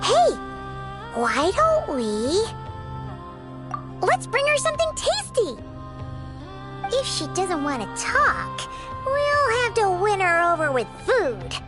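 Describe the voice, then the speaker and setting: A woman voicing a tiny, excitable, chatty fairy companion in an anime-style video game, speaking in exclamations. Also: very high-pitched, slow pace, pauses often, heavily acted. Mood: eager, cheerful. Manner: refers to herself in third person, exclamatory. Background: music.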